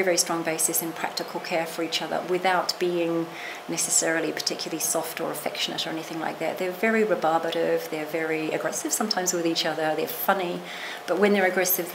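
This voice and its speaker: A middle-aged woman, a literature professor, talking thoughtfully in an interview, qualifying herself with restarts and asides. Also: low voice. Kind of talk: reflective interview talk. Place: small lecture room, seated. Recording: noisy room recording.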